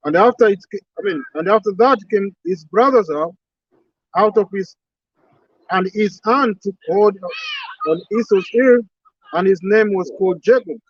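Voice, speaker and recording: A man reading verses aloud at an outdoor street sermon, halting and restarting, chiming in with short agreements. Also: high-pitched, no echo, highs cut off. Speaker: scripture reader.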